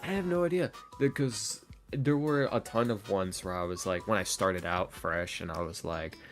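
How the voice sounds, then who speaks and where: A man's voice: deep voice, moderate pace. A man in a casual podcast chat as an interview guest, talking in a rambling, self-deprecating, sardonic way. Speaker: interview guest, ASMR roleplay creator. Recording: music playing behind the talk. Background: background music with guitar.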